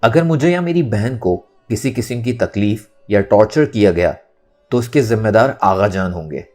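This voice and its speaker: A man's voice: on the low side, expressive, normal speed, darkish tone, faint music behind. A man voicing a defiant, outspoken young woman in an audio novel. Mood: firm. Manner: bold, firm, outspoken. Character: defiant young woman standing up to family patriarch.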